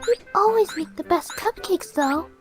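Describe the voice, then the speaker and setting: A woman's voice: very high voice, moderate pace. A woman voicing sweet, girlish cartoon ponies in a fan audio story, with music behind her. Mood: shy, gentle.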